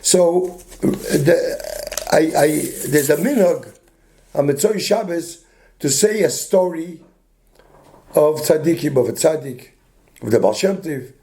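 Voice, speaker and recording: An older man, a rabbi, with a hoarse voice, giving an informal talk full of stories, restarting his sentences often. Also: fairly high pitch, expressive, takes it slowly, pauses often, warm tone. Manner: meandering, many restarts, mixes Yiddish and Hebrew terms.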